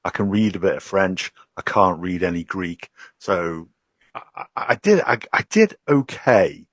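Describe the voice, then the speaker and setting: A man's voice: sits low, lively intonation, a little slow, a bit bright, no room sound, studio quality. A man, a podcast guest, telling self-deprecating travel anecdotes in casual chat, often restarting his sentences.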